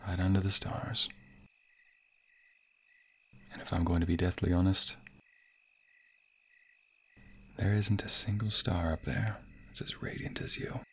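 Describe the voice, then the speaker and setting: A man acting a sweet, bashful young waiter on a romantic date in an ASMR roleplay, with a soft, breathy, playful and hesitant delivery. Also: deep voice, level tone, normal speed, long pauses. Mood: tender, hushed. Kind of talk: ASMR roleplay, intimate scripted boyfriend monologue.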